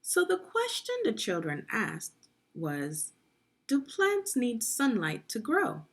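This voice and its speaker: A woman with a slightly husky voice reads aloud to young children as a teacher would. Her pace is unhurried and her pitch moves in lively swings as she explains and puts questions to the listener. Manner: instructional, prompting listeners with questions. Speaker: teacher leading a children's read-along. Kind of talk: guided read-aloud of a children's science book for young learners.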